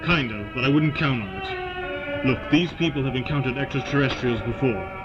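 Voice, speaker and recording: Hawkish colonel, male actor, mid-pitched and colloquial, for audio drama; slightly dull sound over strong music. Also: normal speed, close to an everyday voice. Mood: wary, grim. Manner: plain-spoken, colloquial. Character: hawkish American military colonel, informal and bitter.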